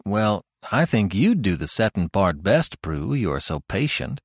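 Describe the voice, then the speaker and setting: A man's voice: fairly low pitch, sing-song, unhurried, slightly rough, no room sound, studio quality, heavily acted. A man voicing a cautious farm boy who teases his sister bluntly. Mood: teasing.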